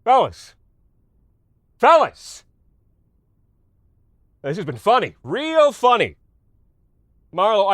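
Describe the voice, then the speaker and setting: An actor playing a rattled, folksy American cargo pilot who talks in a halting, confiding way, like a personal audio log in a horror drama. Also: male voice, on the high side, fairly bright tone, no echo, studio quality, heavily acted. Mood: agitated, shouting. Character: weary, rattled male military cargo pilot, chatty and folksy.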